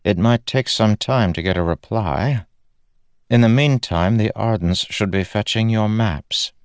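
A man voicing a stern, no-nonsense townlord's wife who talks in a brisk, scolding manner. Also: fairly low pitch, clean tone, no room sound, clean studio sound, voice pushed up. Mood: curt.

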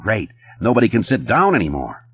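A man's voice: on the low side, wildly swooping pitch, brisk, breathy edge, no room sound, phone-quality sound, pitched above the performer's own voice, full character voice. A man voicing a manic, jittery Japanese hustler who talks in chatty, clipped broken English. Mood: manic, jovial.

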